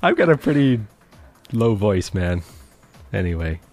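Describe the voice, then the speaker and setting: Male radio co-host, lowish voice, teasing banter, faint music behind. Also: measured pace. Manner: teasing, stammers when excited.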